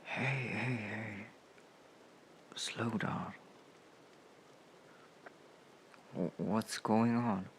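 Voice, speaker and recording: A man acting a caring, protective male comrade in a comfort roleplay, speaking softly and soothingly with unhurried pauses. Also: lowish voice, steady tone, a little hiss or hum. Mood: worried, soothing. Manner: soft-spoken, hushed, soothing, with unhurried pauses. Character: caring male anarchist comrade, protective, gently scolding, tending a friend.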